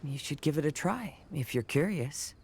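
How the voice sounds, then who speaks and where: Casting: observant young traveler, low calm voice with lively turns, fantasy game, music underneath. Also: female voice, normal speed, slight background noise. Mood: calm, curious.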